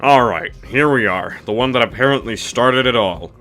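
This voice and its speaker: A man's voice: medium pitch, moderate pace, sharp tone. A man narrating a scripted comedic review in a snarky, dismissive, mock-exasperated way. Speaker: video reviewer, host of a review series.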